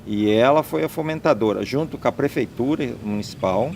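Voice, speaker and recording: A man's voice: medium pitch, a little fast, creaky, a little mellow, a little hiss or hum. A man being interviewed as a landowner, talking emphatically and stacking up points one after another. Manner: emphatic, listing and repeating points. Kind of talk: conversational interview.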